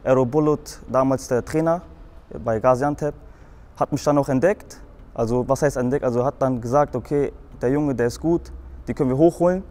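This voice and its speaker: A man's voice: mid-range voice, a little fast, darkish tone. A young man from Frankfurt with a Hessian accent, telling his own story in a rambling way, with many fillers and restarts. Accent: Hessian German. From Germany, Frankfurt. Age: young adult. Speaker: guest in a show video.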